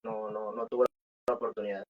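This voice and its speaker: A man's voice: fairly low pitch, little pitch movement, a little fast. Muffled Venezuelan man chatting on radio. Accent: Venezuelan Maracucho Spanish. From Maracaibo, Venezuela. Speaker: band singer being interviewed. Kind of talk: casual radio interview. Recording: dull, muffled radio audio.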